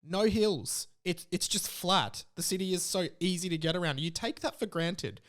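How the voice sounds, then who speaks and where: Higher-voiced young Australian man ranting casually. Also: moderate pace. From Melbourne, Australia. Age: late 20s. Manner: animated, exaggerating, emphatic repetition. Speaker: podcast host. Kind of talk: casual comedic rant.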